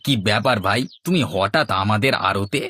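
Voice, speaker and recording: A man's voice: lowish voice, animated, a little fast, bright, forceful tone. A man playing a weary, scheming village husband in a cartoon, speaking in a plain, earnest manner. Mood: conspiratorial, weary. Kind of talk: Bangla cartoon dialogue acting.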